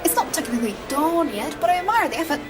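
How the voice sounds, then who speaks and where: A woman's voice: sits high, animated, fast, a crowd in the background, background noise. A woman voicing a blunt, bossy, protective female robot bodyguard in an amateur comic dub. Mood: concerned, protective. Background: clear music bed.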